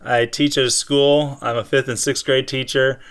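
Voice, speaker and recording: A man chatting casually and playfully as co-host of a fan show, halting as he thinks aloud. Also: medium pitch, little pitch movement, fairly bright tone, smooth. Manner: playful, improvised sock-puppet banter. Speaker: fifth and sixth grade teacher. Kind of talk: casual chat.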